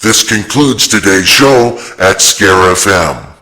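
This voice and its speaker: A man reading a station sign-off and ad pitch in a plain, measured way. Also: lively intonation, sharp tone. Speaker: station announcer. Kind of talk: read promo.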